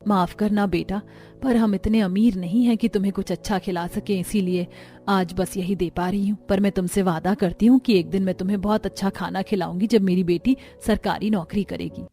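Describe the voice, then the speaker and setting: A woman's voice: mid-range voice, fairly even intonation, brisk, mellow, close to an everyday voice. A woman voicing a humble, apologetic middle-aged mother from a poor family in a cartoon story. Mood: apologetic, hopeful.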